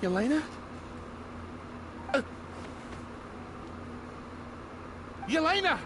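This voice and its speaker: An actor playing a grief-stricken, guilt-ridden man in a dramatic video game scene, halting and anguished. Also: very high voice, very animated, background noise, heavily acted. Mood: anguished, pleading.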